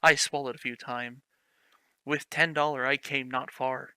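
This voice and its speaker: A man's voice: mid-range voice, steady tone, measured pace, sharp tone, clear, resonant tone, dry recording. A man reading a clumsy fan fiction aloud for laughs, mocking it, giggling and swearing freely between lines. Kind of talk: comedic dramatic reading with commentary.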